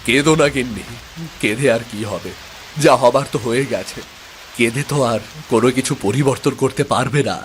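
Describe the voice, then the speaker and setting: A man's voice: medium pitch, a little fast, slight background noise, close to an everyday voice. Man acting a weary, humble village farmer. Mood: worried, resigned. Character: worried, resigned poor village farmer, a father. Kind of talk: animated moral-tale dialogue.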